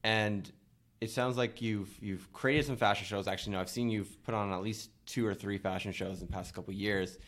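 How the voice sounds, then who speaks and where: A man hosting a casual podcast interview, relaxed and easygoing, with many fillers. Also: lowish voice, fairly quick. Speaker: podcast host. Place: coffee shop.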